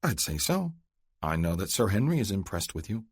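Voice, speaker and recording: A man's voice: low-pitched, sing-song, moderate pace, husky, dry recording, clean studio sound, full character voice. A man voicing a cool, charming young upper-class woman with a Philadelphia accent and a poised manner.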